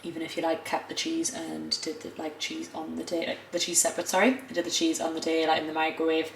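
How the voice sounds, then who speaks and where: A young woman with a northern English accent, a bit croaky, chatting casually to camera as a food vlogger in her home kitchen, with lots of fillers and quick self-corrections. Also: low-pitched, monotone, reverberant, a little hiss or hum, rough recording. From northern England.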